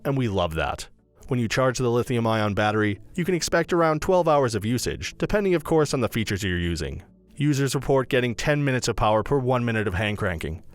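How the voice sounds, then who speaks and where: A man reading a scripted product review as a voice-over narrator, in a brisk, even read-aloud delivery. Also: medium pitch.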